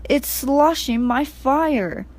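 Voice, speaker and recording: A woman puts on a small, high-voiced boy steam engine from a children's storybook. He is plucky and easily put-upon, speaking in plaintive, shivery exclamations at an unhurried pace. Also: a little mellow. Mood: shivering, plaintive.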